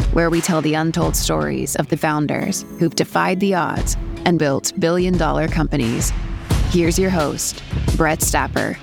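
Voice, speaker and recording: A woman announcer giving a scripted show intro with a smooth, polished delivery. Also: low voice. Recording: voice over a music bed. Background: guitar music.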